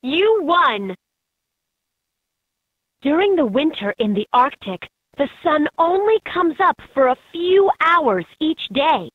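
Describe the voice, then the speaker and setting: Lively, unhurried woman narrating a kids' game. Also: sits high, dry recording. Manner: clear, expressive, instructive delivery for children. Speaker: narrator of a children's learning game. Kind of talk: scripted educational game narration. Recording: slightly dull, lo-fi game audio.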